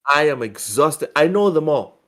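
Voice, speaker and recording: A man co-hosting a casual gaming news show, talking excitedly and often repeating words as he thinks. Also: on the high side, normal speed. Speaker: podcast co-host.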